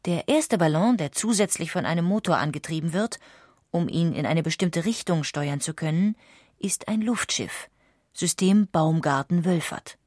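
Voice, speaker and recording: A woman narrating a history audiobook in a calm, even-paced reading style. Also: on the low side, normal speed, dry recording, studio quality. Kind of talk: scripted documentary narration.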